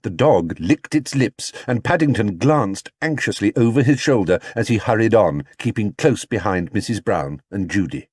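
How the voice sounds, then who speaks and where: A man's voice: lowish voice, expressive, normal speed, few pauses, a little mellow, breathy edge, dry recording. A man reading a scripted children's story as an audiobook narrator.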